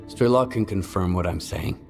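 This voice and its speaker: An actor playing a grave, composed and earnest man in a video game, speaking in a measured, serious way. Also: sits low, soft background music. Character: grave, composed male informant, earnest and steady. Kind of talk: dramatic video game acting.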